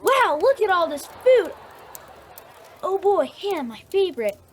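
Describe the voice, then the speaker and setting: Excitable, courteous little boy for radio play, female actor: high, swooping, wide-eyed. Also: measured pace, heavily acted. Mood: thrilled, eager. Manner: polite, eager.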